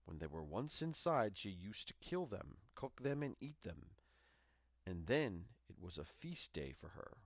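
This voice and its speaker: Male storyteller, dramatic fairy-tale narration, lowish pitch, gravelly edge, dry recording. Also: very animated, measured pace, dry recording.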